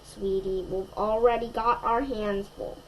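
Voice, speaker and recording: A woman's voice: mid-range voice, steady tone, measured pace, a little hiss or hum, close to an everyday voice, low-quality recording. A woman voicing an exasperated but loving mother in a children's storybook, protesting firmly. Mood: gently firm. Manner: protesting, firm.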